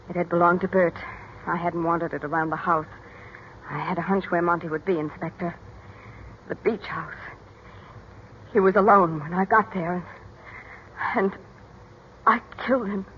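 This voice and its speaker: A woman's voice: lowish voice, steady tone, normal speed, pauses often, lightly acted. An actress playing a weary, devoted mother confessing to police, in earnest, emotional 1940s radio drama style. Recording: dull, noisy 1940s radio recording.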